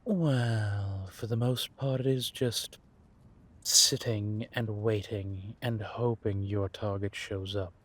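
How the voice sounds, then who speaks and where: A man playing a centuries-old vampire spymaster in a roleplay audio drama, patient and wry, speaking in measured phrases with deliberate pauses. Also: lowish voice, steady tone. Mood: wry, welcoming.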